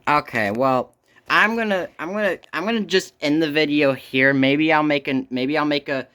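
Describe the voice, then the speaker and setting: Bright-voiced man chatting casually on camera. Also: a little high, moderate pace, clean tone. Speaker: amateur video host reviewing a gadget. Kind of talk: casual unscripted chat.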